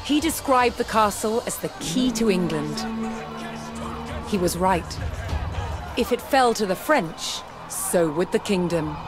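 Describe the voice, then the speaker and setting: A woman reading scripted historical narration for a strategy game, telling the story in a measured, deliberate way. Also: medium pitch, lively intonation. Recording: studio voice-over with music underneath. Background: music.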